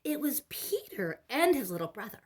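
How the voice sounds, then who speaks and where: A woman reading a picture book to young children as an expressive narrator, sing-song and emphatic. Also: fairly high pitch, normal speed, breathy edge. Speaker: children's story reader, preschool teacher.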